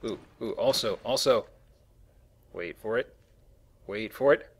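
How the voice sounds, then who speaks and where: A man's voice: on the low side, unhurried, husky. A man giving casual, goofy let's play commentary, rambling along as he plays. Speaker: let's play host, playing the game. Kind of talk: casual chat commentary over gameplay.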